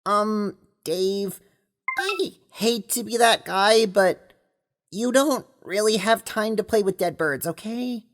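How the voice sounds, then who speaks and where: A woman playing a concerned teenage friend in an audio drama, hesitant and gently chiding. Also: mid-range voice, takes it slowly, noisy background, lightly acted.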